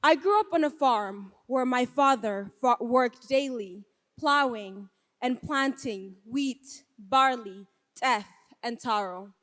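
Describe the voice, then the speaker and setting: Brief: woman from Ethiopia, deliberate live storyteller, slow and high-ish, reverberant hall. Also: a bit bright. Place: stage before a live audience. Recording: long hall reverb on stage.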